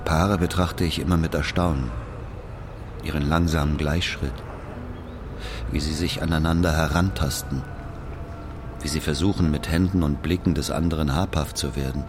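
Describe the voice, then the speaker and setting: A man's voice: extremely low pitch, steady tone, normal speed, pauses often, background noise. An actor playing an aging Algerian man bitterly recounting his past in a radio drama, in a brooding, confiding manner. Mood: wistful, confiding. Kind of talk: dramatic first-person narration in German.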